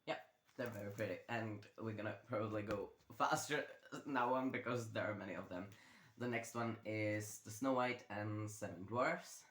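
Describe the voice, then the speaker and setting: A man's voice: on the low side, moderate pace, fairly bright tone, a little hiss or hum. Chatty young man. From Czech Republic. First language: Czech. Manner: chatty, rambling, with many fillers. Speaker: book vlogger. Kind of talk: casual chat. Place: bedroom. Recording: roomy bedroom echo.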